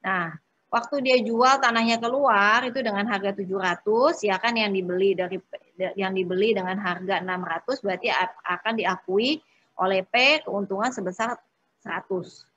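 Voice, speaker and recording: Bright, slightly husky woman teaching. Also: mid-range voice, no room sound, highs cut off. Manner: frequent short check-in tags and pauses. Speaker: accounting instructor. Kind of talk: informal lecture, working through exercises step by step.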